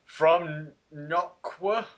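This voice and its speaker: A man's voice: a little high, drawn-out words, lo-fi sound, a crowd in the background. A man doing a dramatic read-aloud in a game among friends, stumbling over words as he goes. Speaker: player in a dramatic reading game. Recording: faint noise and clicks.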